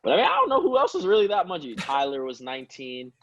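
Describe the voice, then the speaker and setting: A man's voice: a little high, fast, muffled recording. A man in his early twenties, a guest chatting casually and rambling with frequent laughs. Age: 20s. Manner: relaxed, rambling, laughs often. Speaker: guest, professional soccer player. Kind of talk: casual interview chat.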